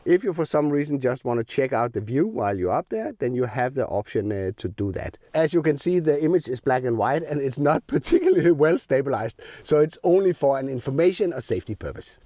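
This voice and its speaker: Lively-pitched man demoing a drone. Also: no echo. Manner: plain step-by-step explaining with filler ums. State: sick, voice a bit rough. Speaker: drone tech reviewer. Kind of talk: hands-on product demo. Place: outdoors in the cold.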